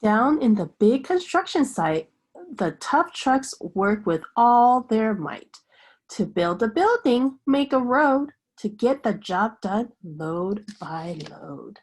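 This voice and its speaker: A woman reads a picture book aloud in a fairly high voice that swoops widely in pitch. She takes her time over each phrase, with the sing-song expressiveness of a teacher telling a bedtime story to young children. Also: big pitch swings, slightly rough. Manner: slow, sing-song delivery with hushed bedtime shushes.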